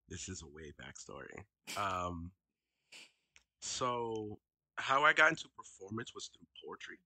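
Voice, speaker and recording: A man's voice: low-pitched, slow pace, bright, forceful tone, slightly rough, dry recording. A man whose first language is Haitian Creole, a guest on a podcast, telling his story in a reflective, casual way and laughing easily. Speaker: podcast guest, actor and educator. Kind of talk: casual interview conversation.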